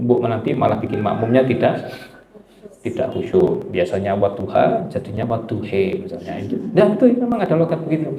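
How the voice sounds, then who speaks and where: Throat-clearing preacher in an echoey room. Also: male voice, medium pitch, muffled recording. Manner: conversational, asks the audience questions, frequent throat clearing. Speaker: Quran study teacher. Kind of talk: religious study lecture to a congregation. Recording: echoey room with faint background noise.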